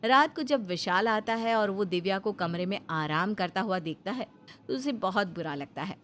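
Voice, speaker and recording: A woman's voice: medium pitch, lively intonation, normal speed, fairly bright tone, a little hiss or hum. A woman giving scripted narration of a family story as its narrator.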